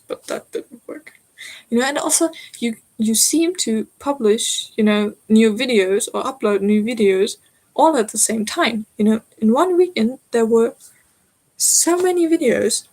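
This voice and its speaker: A German-accented woman in her twenties hosts a live crafting stream and reads out viewer comments. She talks unhurriedly in a slightly high voice, chatty and giggly, speaking straight to her audience. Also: darkish tone, low-quality recording. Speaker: stitching channel host.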